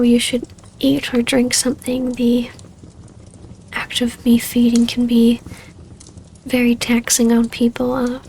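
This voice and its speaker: A woman with a fairly high voice and a strong creak plays a wistful vampire baroness in an ASMR roleplay. Her soft, hesitant delivery stays within a narrow pitch range, intimate and tender. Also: a little hiss or hum.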